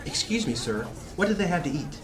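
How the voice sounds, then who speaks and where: A man's voice: a little high, big pitch swings, a little fast, a faint crowd, low-quality recording. A man playing an earnest young psychic apprentice in a fantasy audio drama. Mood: polite, curious. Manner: earnest, courteous, insistent.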